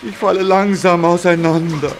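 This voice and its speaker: A man's voice: sits high, steady tone, moderate pace, noisy background. An actor playing a big, proud but aging male express engine who frets and groans to himself in a children's audio drama. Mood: worried. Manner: anxious, groaning and muttering to himself.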